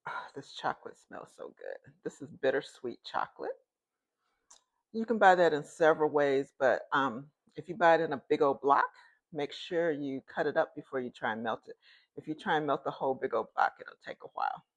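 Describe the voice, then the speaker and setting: Low-voiced chatty woman in a home kitchen. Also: expressive, brisk. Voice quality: loud voice. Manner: chatty and homey, talks straight to viewers. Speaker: home cooking host. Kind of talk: casual cooking demonstration. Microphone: phone, later a clip-on mic.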